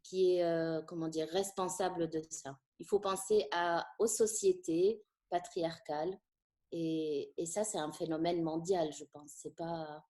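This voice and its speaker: Middle-aged woman teacher, Tunisian-born, Arabic native; warm low voice, reflective and hesitant. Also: fairly even intonation, measured pace. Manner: thoughtful, hesitant with frequent fillers. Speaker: French teacher. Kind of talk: conversational interview.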